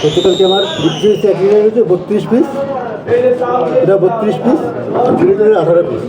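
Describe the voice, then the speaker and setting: A man, a seller, explaining stock in a hurried, repetitive way. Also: sits high, roomy sound. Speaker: oil seller. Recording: muffled, loud crowd around.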